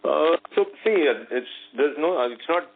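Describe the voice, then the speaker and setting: A man's voice: on the high side, lively intonation, normal speed, creaky. Indian-accented male executive on a phone line. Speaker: company executive answering analysts' questions. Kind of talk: earnings call answers, spontaneous business talk. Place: conference call. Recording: narrow phone line with faint noise and hum.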